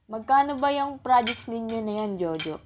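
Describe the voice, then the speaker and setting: A woman's voice: a little high, animated, normal speed, close to an everyday voice. A woman playing a caring, hard-pressed mother in an amateur radio drama, with faint noise behind her. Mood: brisk, caring. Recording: faint noise, clicks and clatter.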